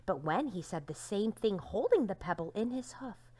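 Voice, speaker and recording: Expressive woman reading a storybook aloud. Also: mid-range voice, normal speed, husky. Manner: expressive, dramatic emphasis with hushed line endings. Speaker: teacher reading aloud to young children.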